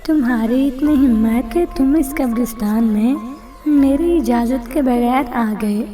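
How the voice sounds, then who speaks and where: A woman's voice: on the high side, a little slow, music in the background, lightly acted. A woman playing a cruel graveyard witch. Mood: menacing. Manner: gloating, threatening.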